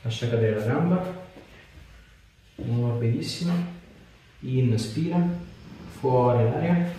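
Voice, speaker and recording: A man in his thirties gives brief, clipped instructions as a chiropractor working on a patient. His voice sits at an ordinary male pitch. The room rings heavily around him, and he is some distance from the mic with faint noise behind. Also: slow pace, mellow, very echoey. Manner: short, clipped directions. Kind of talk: hands-on instructions to a patient, casual chat. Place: small bare treatment room, indoors.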